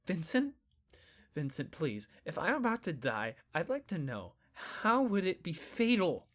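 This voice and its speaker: A woman's voice: fairly low pitch, very animated, measured pace. A woman playing a stubborn, skeptical young friend in a naturalistic audio drama, with a dry, flippant delivery. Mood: panicked.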